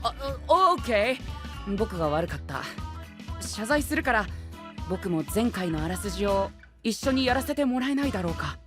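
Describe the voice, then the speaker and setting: An actor playing a prim, rule-bound young gentleman knight who speaks pompously and tosses in English exclamations, in an anime audio drama. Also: sits high, sing-song, normal speed, music in the background. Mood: contrite. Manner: pompous, drops English exclamations into formal speech. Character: prim penguin gentleman knight, youthful, fussy about rules.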